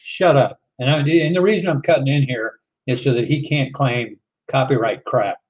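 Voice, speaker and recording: A man's voice: mid-range voice, moderate pace, poor audio. Sarcastic exasperated man commenting. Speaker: reaction commentator.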